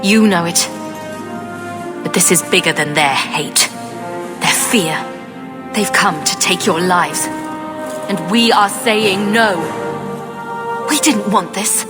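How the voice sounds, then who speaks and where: A woman acts as a young fantasy game champion, steady and resolute. Her mid-range voice is earnest and firm, used to giving orders. It carries long reverb, with music behind. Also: medium pitch, takes it slowly, pauses often, close to an everyday voice. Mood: rallying. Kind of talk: dramatic video game voice acting.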